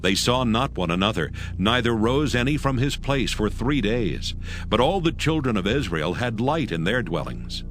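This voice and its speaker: A man narrating dramatized scripture in a solemn, measured, scripted manner. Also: medium pitch, animated, a bit bright. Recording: music under the narration.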